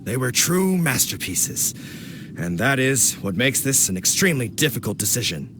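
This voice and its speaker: A man's voice: medium pitch, very animated, normal speed, noisy background, natural voice. A man voicing a grandiose, formal food judge in a video game, with theatrical delivery. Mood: solemn, grandiose. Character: grandiose male food judge, solemn and easily astonished.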